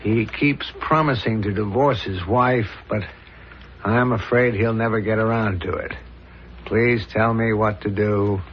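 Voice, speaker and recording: An actor playing an earnest, dogged homicide inspector in a 1970s radio drama, serious, probing and deliberate, on a dull old recording. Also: male voice, on the low side, fairly even intonation, slight background noise, natural voice. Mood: probing, businesslike.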